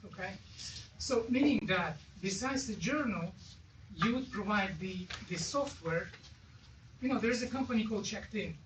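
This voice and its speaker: An audience member gives feedback at a business meeting in a halting, repetitive and insistent way. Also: medium pitch, animated. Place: event room with audience. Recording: distant mic, echoey room, clear noise.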